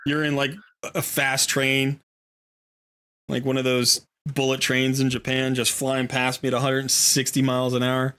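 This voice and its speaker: A man with a bright, forceful voice hosts a casual gaming livestream. He talks in a chatty, jokey way at an ordinary mid pitch. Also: completely flat delivery, moderate pace, smooth. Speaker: livestream host.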